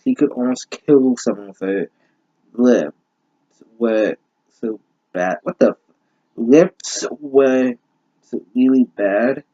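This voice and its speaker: A man doing a comedic story reading with halting, sarcastic, exasperated commentary and plenty of swearing. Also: medium pitch, sing-song, gravelly, warm tone. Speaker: host reading and mocking fan fiction. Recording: dull lo-fi home recording.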